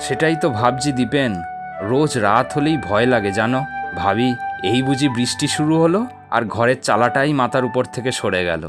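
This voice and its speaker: A man voicing a worried, kindly, poor villager in a cartoon, speaking in an expressive, plain-spoken way. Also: mid-range voice, moderate pace, loud music behind the voice, natural voice. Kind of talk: Bengali cartoon dialogue.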